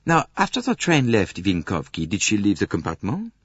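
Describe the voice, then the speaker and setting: Orderly, courteous older detective, read by a man in a low husky voice; polite probing questions, dull dry recording. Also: huge pitch swings, moderate pace, dry recording, exaggerated voice. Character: fastidious, courteous older male Belgian detective.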